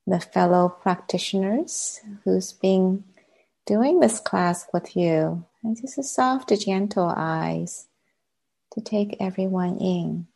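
Slow, low, creaky-voiced woman guiding meditation. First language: Chinese. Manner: gentle, unhurried, with long pauses. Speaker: meditation teacher. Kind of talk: guided meditation.

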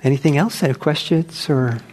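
An older man who speaks softly, giving a gentle, unhurried meditation talk and answering questions. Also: fairly high pitch, slight background noise. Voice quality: soft-spoken. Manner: gentle, unhurried, with many restarts. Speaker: meditation teacher. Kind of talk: dharma talk with Q&A. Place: meditation hall.

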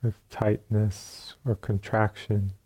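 Slow, very mellow man guiding meditation. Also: on the low side, completely flat delivery, husky. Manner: unhurried, long pauses between short phrases. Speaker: meditation teacher. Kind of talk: guided meditation.

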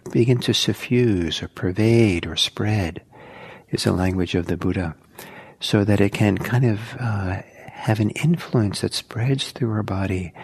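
A man teaching meditation in a soft, slow, halting way, with many pauses. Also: fairly low pitch, gravelly, breathy edge. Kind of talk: guided meditation talk.

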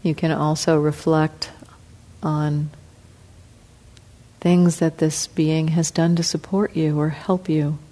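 Slow, soft-spoken woman guiding meditation. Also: sits low. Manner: slow, soft, calm, with long pauses. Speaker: meditation teacher. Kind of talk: guided meditation.

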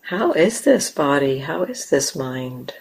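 Woman leading guided meditation, gentle low voice, super slow with big pauses. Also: very animated, breathy edge. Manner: gentle, unhurried, with long pauses. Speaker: meditation teacher. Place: meditation center.

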